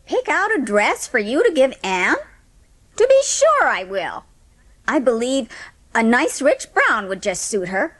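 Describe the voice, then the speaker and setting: A woman playing a brisk, confident village matron in an audiobook dramatisation, chatty, emphatic and sure of herself. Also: a little high, very animated, a bit bright, exaggerated voice. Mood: brisk, obliging.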